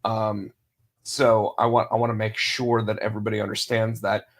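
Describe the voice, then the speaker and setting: A man hosting a casual podcast on his own, under the weather and losing his voice, rambling with lots of fillers, restarts and throat clearing. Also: on the low side, flat delivery, moderate pace. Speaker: podcast host. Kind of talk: solo casual wrestling podcast talk.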